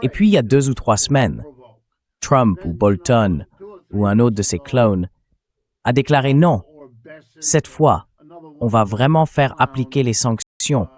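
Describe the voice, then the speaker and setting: A man's voice: mid-range voice, expressive, a little slow, long pauses, a little mellow. A man's synthetic AI voice-over delivering conversational, explanatory political commentary as a dubbed interview.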